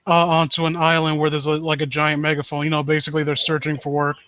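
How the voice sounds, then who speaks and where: A man hosting a casual live stream, talking in a halting, rambling way with joking, foul-mouthed asides. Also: fairly high pitch, monotone, a bit bright, clear, resonant tone. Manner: rambling, halting, self-interrupting. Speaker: livestream host.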